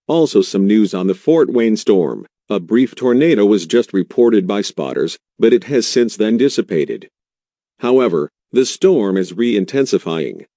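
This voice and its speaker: A man acting as a grave TV weatherman in a live storm broadcast. His voice is low and mellow, recorded close and dry, and he speaks with solemn, imploring earnestness. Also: dry recording. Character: grave, pleading male TV meteorologist covering a tornado outbreak. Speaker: TV weather forecaster.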